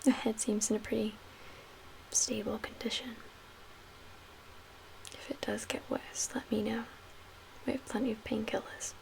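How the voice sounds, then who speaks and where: Hushed, breathy woman acting as a female survivor leader. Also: a little high, steady tone, low-quality recording. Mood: gentle, concerned. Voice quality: soft, breathy, half-whispered. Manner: hushed, measured, gently reassuring with pauses. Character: calm, guarded female zombie-apocalypse survivor leader. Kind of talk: soft-spoken ASMR roleplay. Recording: audible background noise.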